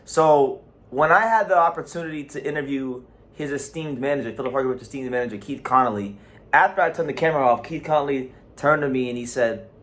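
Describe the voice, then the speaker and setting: A man hosting a casual boxing talk show, talking in an animated way with frequent restarts and repeated words. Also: mid-range voice, brisk. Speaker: boxing channel host.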